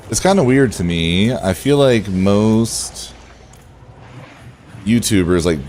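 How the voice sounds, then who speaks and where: A man with a relaxed voice, chatting casually to viewers as he streams a game and thinks aloud. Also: low-pitched, slight background noise. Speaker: game livestreamer. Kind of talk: casual livestream chat over gameplay.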